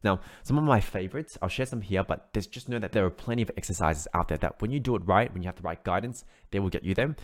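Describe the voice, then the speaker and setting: Low-voiced male vocal coach. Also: fast, few pauses. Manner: encouraging, explanatory, frequent "right?" tags. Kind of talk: online singing lesson.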